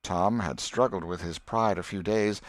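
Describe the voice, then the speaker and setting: A man reading a storybook as an audiobook narrator, in a measured, expressive way. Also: deep voice, dry recording.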